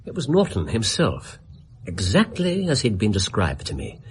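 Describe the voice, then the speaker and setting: An actor playing a brilliant Victorian detective in a radio drama, with an English accent and precise, dramatic storytelling. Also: male voice, lowish voice, huge pitch swings, normal speed, a little hiss or hum. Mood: absorbed, dramatic. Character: brilliant theatrical male detective in Victorian London.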